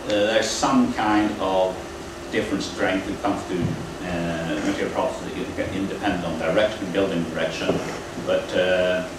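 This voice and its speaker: A man giving a technical lecture at a training event, explaining in a halting way with frequent pauses. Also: low voice, fairly even intonation. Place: lecture room at a university. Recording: echoey room, distant mic, air-conditioning hum. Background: air conditioning hum.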